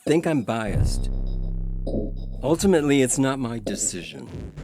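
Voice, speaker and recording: A man's voice: mid-range voice, huge pitch swings, measured pace. Man playing a weary scientist husband over music. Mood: measured, explaining. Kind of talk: dramatic reading of audio fiction.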